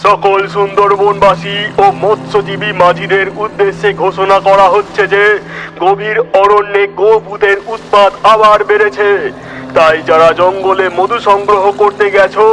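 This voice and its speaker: A man's voice: high-pitched, level tone, moderate pace, fairly bright tone, faint music behind, rough recording. A man playing a forest officer who reads out a stern, formal public warning in a cartoon.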